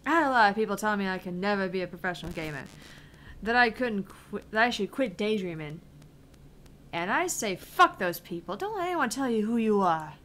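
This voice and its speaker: A woman's voice: mid-range voice, lively intonation, brisk, fairly bright tone, slight background noise, lightly acted. A woman voicing a brash, fiery young female gamer with a theatrical, foul-mouthed manner, reading out a visual novel game. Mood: frustrated, subdued.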